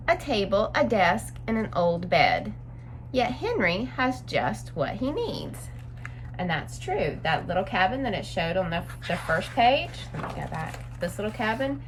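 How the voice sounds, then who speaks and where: A woman's voice: medium pitch, a bit bright, slight background noise. A woman reading a picture book aloud to young children, adding simple explanatory asides as a story-time teacher.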